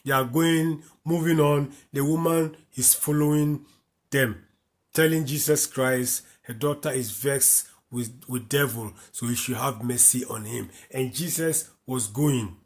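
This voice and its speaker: A man's voice: medium pitch, measured pace. A man from Africa, teaching from the Bible by reading verses aloud and then explaining them, repeating key lines. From West Africa. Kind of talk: slow, repetitive Bible commentary.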